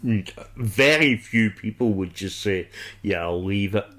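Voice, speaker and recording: A man's voice: on the low side, unhurried, a bit bright. A man chatting casually as a podcast host reviewing television, blunt, opinionated and free with his swearing.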